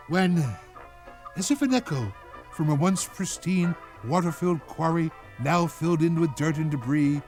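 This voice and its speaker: Higher male voice, pompous, affected, theatrical pauses, animated pitch, comic monologue. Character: pompous, melodramatic, affected man-about-town telling a grievance.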